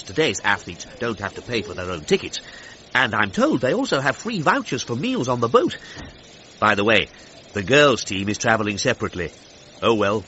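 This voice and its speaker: An actor playing an excitable 1930s British radio sports commentator, narrating with theatrical, plummy exclamations. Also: male voice, medium pitch. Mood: brisk, jovial. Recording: dull radio sound, noisy crowd behind.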